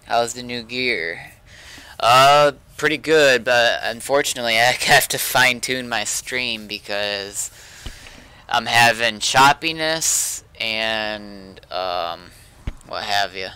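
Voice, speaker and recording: A man's voice: mid-range voice, slow pace, bright, forceful tone, clean tone, poor audio. A man talking casually while troubleshooting a computer on a livestream.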